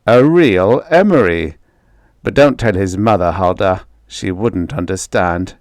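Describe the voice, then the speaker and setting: A man's voice: sits low, expressive, a little slow, no echo, clean studio sound. A man voicing a stern, proud middle-aged father in a told story, firm and matter-of-fact.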